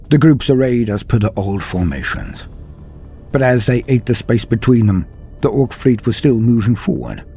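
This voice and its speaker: A man reading dramatic scripted war fiction as a storyteller, in a grave, measured delivery with deliberate pauses and falling phrase endings. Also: lowish voice, darkish tone. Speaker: narrator of a fan-written war story. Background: music under the voice.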